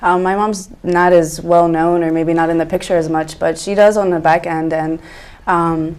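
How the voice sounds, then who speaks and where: A woman's voice: lowish voice, steady tone, normal speed, darkish tone. A woman in her twenties from Egypt, talking earnestly and enthusiastically as a guest in a casual talk show conversation. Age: 20s.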